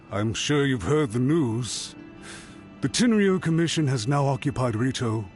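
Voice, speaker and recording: A man's voice: on the high side, natural voice. A man voicing an anxious, remorseful official in a video game, speaking formally and deferentially as he pleads. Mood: apologetic, beseeching.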